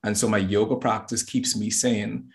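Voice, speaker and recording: Deep gravelly-voiced man from the Bahamas. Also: fairly even intonation, moderate pace. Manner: earnest, repeats words as he restarts. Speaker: astrologer and yoga teacher, guest. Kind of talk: casual interview talk.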